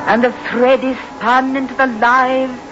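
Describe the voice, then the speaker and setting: An actress playing a genteel village fortune-teller given to trances, with dramatic radio drama delivery. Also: female voice, sits high, flat delivery, a little slow, noisy background. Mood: eerie, ominous. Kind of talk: 1960s radio drama acting. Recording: muffled old radio recording with music.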